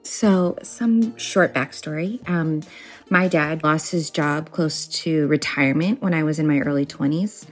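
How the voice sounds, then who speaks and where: Low-voiced woman in her thirties. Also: measured pace, faint music behind. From United States. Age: 30s. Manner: reflective, hesitant with ums. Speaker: interviewee sharing a story.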